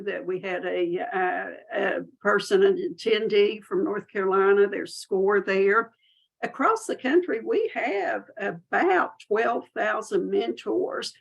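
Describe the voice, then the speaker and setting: A woman's voice: mid-range voice, gravelly, low-quality recording. A woman with a Southern US accent, giving a chatty, folksy webinar presentation as a chapter chair over a video call. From Southern United States. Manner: chatty, folksy, self-deprecating.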